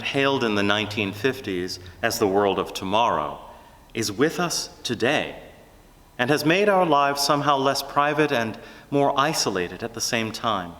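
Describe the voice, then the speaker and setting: A man preaching a scripted sermon in an earnest, deliberate manner. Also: fairly low pitch, fairly bright tone. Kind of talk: sermon, measured scripted delivery. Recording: long hall reverb.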